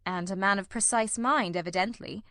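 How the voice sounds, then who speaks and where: A woman voicing a shrewd, self-assured male detective in an audiobook, with a brisk, precise and dryly witty manner. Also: mid-range voice, bright, forceful tone, dry recording. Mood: dryly amused. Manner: brisk, precise, dry, commanding. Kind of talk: audiobook character voice.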